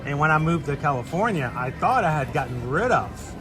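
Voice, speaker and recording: A man's voice: fairly high pitch, sing-song. A middle-aged man with a Georgia Southern accent, hosting a casual livestream chat and telling stories with easy laughs. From Atlanta area, Georgia. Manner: chatty, storytelling, quick to laugh. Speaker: livestream host. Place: outdoors at a theme park. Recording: outdoor park audio with music behind.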